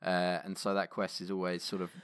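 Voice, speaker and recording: Male podcast co-host, lower-pitched voice, informal chat, dry close sound. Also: monotone, brisk, fairly bright tone, smooth, no echo. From England. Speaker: podcast host reviewing tabletop adventures. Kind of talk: casual podcast discussion.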